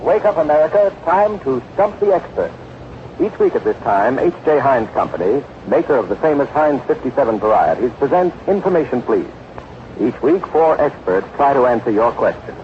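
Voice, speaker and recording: Emphatic 1940s radio announcer, swooping intonation. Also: male voice, mid-range voice, big pitch swings, moderate pace. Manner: emphatic, formal. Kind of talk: 1940s radio announcing, scripted sponsor copy. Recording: muffled, noisy 1940s radio broadcast.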